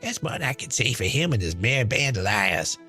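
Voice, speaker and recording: A man's voice: medium pitch, big pitch swings, moderate pace, sharp tone, soft background music, pitched below the performer's own voice. A man voicing a brash, smug, chain-smoking woman in a dramatized monologue, sneering, profane and boastful. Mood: sardonic, defiant.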